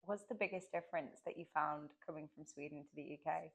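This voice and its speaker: A woman, interviewing an author in a relaxed, friendly chat. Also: sits low, fast. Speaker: interviewer for a publisher.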